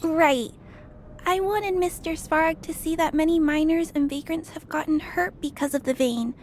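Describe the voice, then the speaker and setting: An actress playing a gentle, earnest little girl in a video game, polite and hesitant, worried for others. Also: high-pitched, moderate pace, slight background noise, lightly acted. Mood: worried, pleading. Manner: soft-hearted, polite, hesitant.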